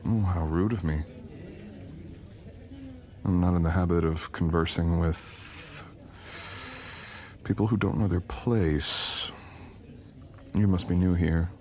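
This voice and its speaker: A man's voice: low-pitched, steady tone, takes it slowly, pauses often, a little mellow. A man playing a powerful, dangerous shadow being, a smug and possessive boyfriend, in an intimate ASMR audio roleplay with dramatic, teasing delivery.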